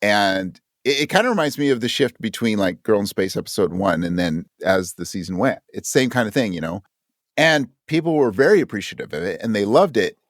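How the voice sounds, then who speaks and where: A man's voice: lowish voice, animated, brisk, no room sound, clean studio sound. A man speaking as a writer guest in a casual interview, candid and thinking aloud, often acting out his own thoughts as quotes. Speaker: guest audio drama writer.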